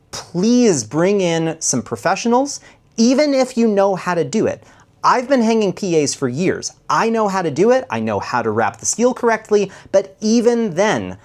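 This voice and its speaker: A man presenting an explanatory tutorial as an audio engineer, talking step by step in an instructive way. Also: high-pitched. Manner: instructive, step-by-step, checks in with "okay?".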